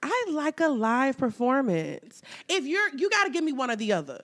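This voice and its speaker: A woman's voice: high-pitched, big pitch swings, normal speed, a bit bright. A woman co-hosting a podcast, chatting about pop culture in a slangy, playful way and laughing easily. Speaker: podcast co-host. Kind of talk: casual pop culture chat.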